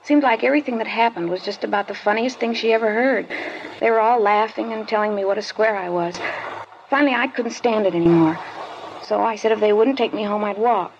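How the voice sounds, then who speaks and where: A woman playing a wary fifteen-year-old schoolgirl informant in a 1950s radio drama, guarded and halting. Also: medium pitch, lightly acted. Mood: recounting. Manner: guarded, halting, evasive answers. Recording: dull lo-fi old radio recording, clear hiss.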